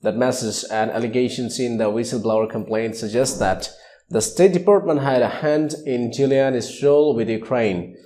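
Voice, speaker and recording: A male news reader with a dark, relaxed tone and a mid-range pitch. He delivers scripted news reports as narration. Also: normal speed.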